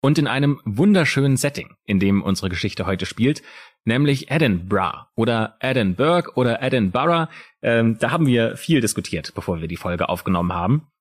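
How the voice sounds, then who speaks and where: A male true crime podcast co-host with a Palatinate German accent, telling the story dramatically with pauses. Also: mid-range voice, lively intonation.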